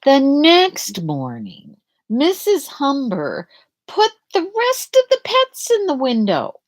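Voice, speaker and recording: Slow, lively woman reading to children. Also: high voice. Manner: deliberate, dramatic phrasing for young children. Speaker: storyteller reading a picture book aloud. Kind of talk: expressive children's read-aloud, with questions to young listeners.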